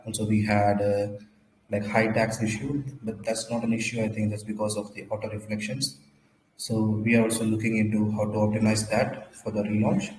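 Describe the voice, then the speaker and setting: Low, flat-voiced man with a cold. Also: roomy sound, slight background noise, rough recording. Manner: halting, repeats words and restarts often. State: has a cold, slightly hoarse. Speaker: crypto project founder hosting a livestream. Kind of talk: casual livestream update.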